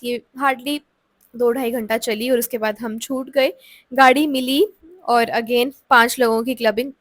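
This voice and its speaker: A woman's voice: a little high, dry recording. A young woman, narrating her vlog in a chatty voice-over and mixing in English, with a sore throat from a cold. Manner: chatty Hinglish storytelling. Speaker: vlogger. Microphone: close voice-over mic.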